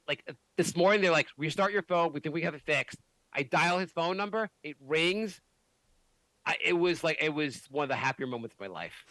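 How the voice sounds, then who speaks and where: Animated, bright-voiced man. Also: a little high, no room sound, low-quality recording. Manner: animated, swears a lot, many restarts. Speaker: podcast host. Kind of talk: casual chat.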